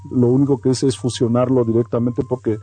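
A man's voice: mid-range voice, flat delivery, brisk, dark tone, slightly rough, no echo. A man from Oaxaca with a Mexican accent, a native Mazatec speaker, answering as an artist guest in a radio interview.

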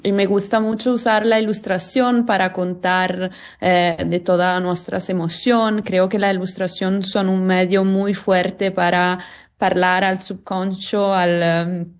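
A woman's voice: medium pitch, little pitch movement, unhurried. A woman with an Italian accent answers interview questions conversationally in a second language, often searching for words. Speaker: interview guest, lawyer and illustrator.

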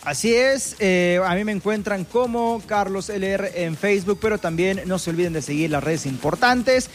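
Mexican man, playful radio co-host, high voice, faint music underneath. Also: normal speed. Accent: Mexican Spanish. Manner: playful, joking, restarts often. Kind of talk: casual radio chat. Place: radio studio.

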